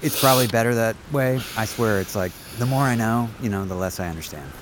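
A man's voice: on the low side, fast, background noise, lightly acted. An actor playing a casual, nosy, friendly male coworker in an audio drama, informal and a little hesitant. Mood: chummy, probing. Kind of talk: naturalistic audio drama acting.

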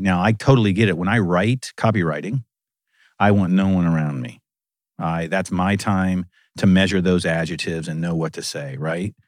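A man hosting a casual conversation, animated and laughing often. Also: deep voice, no room sound, studio quality.

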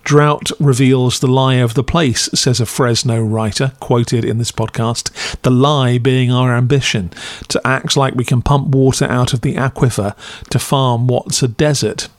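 A man's voice: mid-range voice, fairly even intonation, measured pace, no echo. A man narrating a scripted review as a podcast host, with a wry, critical, dry delivery.

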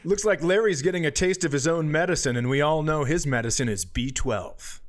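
Higher-voiced man ranting on a comedy podcast. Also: a little fast. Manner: profane, opinionated ranting. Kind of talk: casual comedic podcast banter.

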